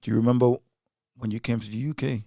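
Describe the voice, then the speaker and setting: A man hosts the podcast in a laid-back, encouraging way. His voice has a gravelly edge. The sound is dry and close to the mic. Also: mid-range voice, steady tone, quick pace, mellow, no echo. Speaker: podcast host. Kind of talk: casual podcast interview.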